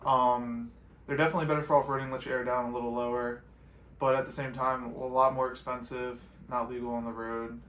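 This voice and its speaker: Flat-toned American man, echoey recording. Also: medium pitch, brisk. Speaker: off-road vlog co-host. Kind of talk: casual question-and-answer chat. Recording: roomy echo with some background noise.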